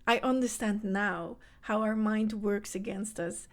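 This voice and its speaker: A woman's voice: mid-range voice, unhurried. A woman from the Netherlands with a Dutch accent and Dutch as her first language, speaking English in an earnest motivational podcast monologue that addresses the listener directly as a self-help coach for mothers.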